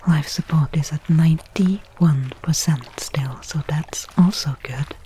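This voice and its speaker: A woman playing a weary stranded space explorer, wry and resigned, talking to herself in a breathy ASMR roleplay. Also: low-pitched, slow, mellow, a little hiss or hum. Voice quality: breathy, whispery. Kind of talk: ASMR audio roleplay monologue.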